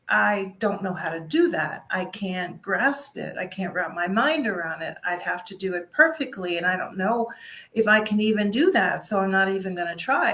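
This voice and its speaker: A woman's voice: medium pitch, pushed and bright. Woman as an anxious, self-doubting little pig.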